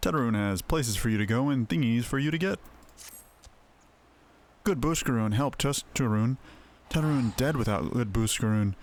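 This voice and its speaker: A man's voice: mid-range voice, animated, moderate pace, pauses often, close to an everyday voice. A man voicing a muddled, grateful little beastman trader in a video game, stammering in broken third-person speech. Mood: eager, grateful. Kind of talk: voiced video game dialogue.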